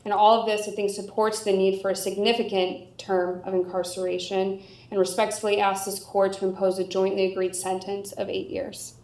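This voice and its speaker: A woman with an American accent making a formal courtroom argument as a prosecutor, in a measured, articulate manner. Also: medium pitch, flat delivery, a little mellow. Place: indoor courtroom. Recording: echoey room sound.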